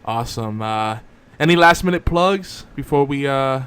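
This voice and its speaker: A man's voice: medium pitch, expressive, normal speed, fairly bright tone, clean tone. A man hosting a live show with casual, off-the-cuff banter. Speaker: host.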